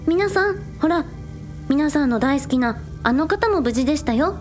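Teen village idol, played by a woman: very high voice, cute, earnest and excitable, audio drama with music behind. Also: moderate pace. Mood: excited. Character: bubbly teenage girl village idol, streaming live. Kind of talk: Japanese audio drama acting.

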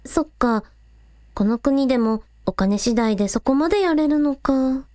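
A woman's voice: high voice, expressive, unhurried, dark tone, no room sound, clean studio sound. A woman playing a plucky, practical young village idol who talks casually in a radio drama. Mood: thoughtful. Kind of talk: Japanese radio drama acting.

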